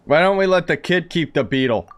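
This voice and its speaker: A man's voice: on the high side, animated, a little fast, a little hiss or hum. A man giving casual live commentary on a video game, offhand, slangy and wry. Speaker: streamer. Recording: streamer's mic with game music behind.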